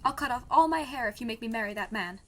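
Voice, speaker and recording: A woman plays a defiant young princess in a fantasy audio drama. Her voice is a little high, dryly sarcastic and stubborn. Faint noise sits behind the recording. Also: expressive, fast, close to an everyday voice. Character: stubborn, sardonic young princess defying an arranged marriage. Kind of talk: audio drama podcast acting.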